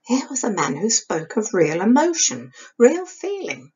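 A woman in her sixties reading historical fiction aloud as the author, in an expressive audiobook style. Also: on the high side, unhurried, breathy edge. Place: small home study, indoors. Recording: dull lo-fi webcam sound.

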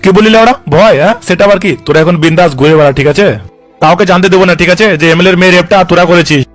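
A man's voice: high-pitched, very fast, natural voice. A man voicing a corrupt, cocky police officer in a comic cartoon, coarse and glibly reassuring. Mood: cocky, conspiratorial. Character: corrupt, cocky, foul-mouthed male police officer, slippery. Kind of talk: comic cartoon dub dialogue.

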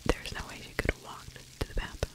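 Whispering middle-aged woman, ASMR style. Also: fast talker. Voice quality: breathy, unvoiced whisper. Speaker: ASMR true crime storyteller. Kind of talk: whispered ASMR true crime commentary. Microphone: large microphone with furry windscreen, very close.

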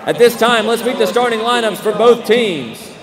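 A young American man working as a public address announcer at a basketball game, with a booming showman delivery and drawn-out names. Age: young adult. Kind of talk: arena sports announcing. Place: courtside in an indoor basketball arena. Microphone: desk microphone on a short stand. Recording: echoing arena PA with loud crowd noise. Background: crowd noise and arena ambience.

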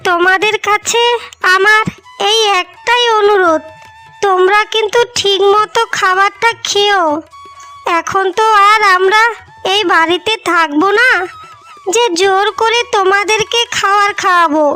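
A devoted, easily wounded young bride from a cartoon melodrama, played by a woman. Her voice is extremely high-pitched and sharp, plaintive and pleading. Faint music sits behind it. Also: unhurried, full character voice. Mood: concerned, sorrowful. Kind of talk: melodramatic cartoon story dialogue. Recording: music bed under the voice.

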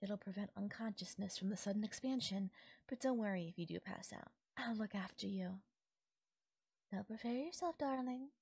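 Woman acting a breathy, possessive fairy sweetheart. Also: mid-range voice, moderate pace, no room sound. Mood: tender, possessive. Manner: coaxing, affectionate, softly teasing. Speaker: voice actor. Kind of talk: intimate audio roleplay, ASMR-style acting.

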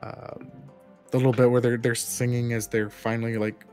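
A man talks as a podcast co-host in a casual film discussion. His pitch is middling, and he thinks aloud in chatty, loose fragments. Faint music plays behind him. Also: little pitch movement, normal speed, darkish tone.

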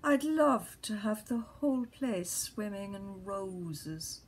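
A woman's voice: mid-range voice, animated, takes it slowly, slightly rough, exaggerated voice. A woman performing a restless, earthy wife who lies awake musing, in a theatrical stream-of-consciousness monologue. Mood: rapturous.

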